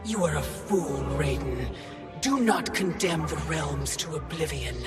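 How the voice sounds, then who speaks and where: Slow, imperious female Titan villain in a game cutscene, loud score behind. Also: low-pitched, animated, vocal fry, over loud music, exaggerated voice. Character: Kronika, ancient female Titan keeper of time, cold and imperious. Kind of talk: video game cutscene acting.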